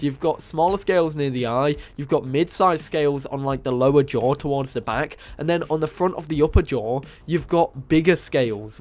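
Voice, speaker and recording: A man with a cold, sounding hoarse and nasal, enthusiastically narrating a game mod showcase as host of his channel. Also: mid-range voice, darkish tone. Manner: enthusiastic, casual, repeats praise. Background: faint music.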